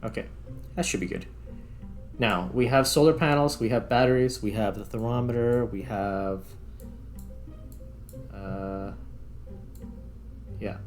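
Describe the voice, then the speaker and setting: A man giving casual, unscripted gameplay commentary, thinking aloud and trailing off mid-thought as he plays. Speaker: host, gamer playing a space flight simulator. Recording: clear rumbling noise behind voice.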